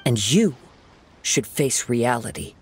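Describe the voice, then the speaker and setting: A man playing a calm, principled young wandering samurai in a video game, speaking in a measured, eloquent, earnest way. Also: mid-range voice, a little hiss or hum. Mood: calm reproach. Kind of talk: dramatic video game voice acting. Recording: background music under the voice.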